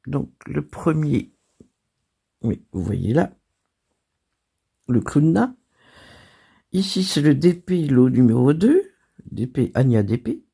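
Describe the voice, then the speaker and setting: A French woman hosting her crafting channel, hoarse from a cold, chatting casually and laughing at herself. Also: deep voice, warm tone. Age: older. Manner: chatty and self-deprecating, laughing and coughing. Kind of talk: casual chat.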